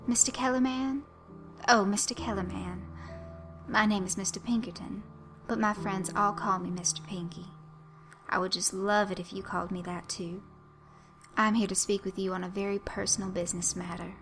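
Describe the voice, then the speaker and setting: A woman voicing a short, cunning male Southern dandy with a Southern accent and a flowery, drawn-out way of stressing his words. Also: mid-range voice, fairly even intonation, music in the background, lightly acted. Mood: genteel, menacing. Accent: American Southern. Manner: ornate, drawn-out emphasis.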